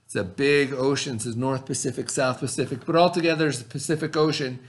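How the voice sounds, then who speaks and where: A man teaching through a read-aloud, pausing to explain things and put questions to his listeners. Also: mid-range voice, steady tone.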